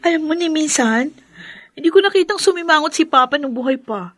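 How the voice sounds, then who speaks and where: A woman's voice: high voice, expressive, a little fast, fairly bright tone, no room sound, studio quality. A woman acting a devoted young daughter who has lost a parent, in an earnest, emotional radio drama style. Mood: gentle, wistful.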